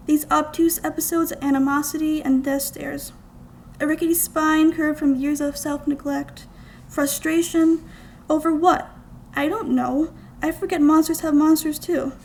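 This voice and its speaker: A young American woman gives a scripted reading of her own creative writing. Her voice is high with a noticeable creak, and she phrases lines deliberately and expressively. It is a live gallery recording with faint background noise. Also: poor audio. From United States, small-town Minnesota. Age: young adult. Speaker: student writer reading own work. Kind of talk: scripted literary reading aloud. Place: college art gallery, indoors.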